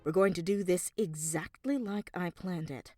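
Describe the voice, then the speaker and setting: A woman's voice: on the low side, moderate pace, darkish tone, no echo, clean studio sound, soft background music, natural voice. An actress playing a reckless, scheming female inventor in a comedic audio drama, breezy and theatrical. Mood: scheming, showy.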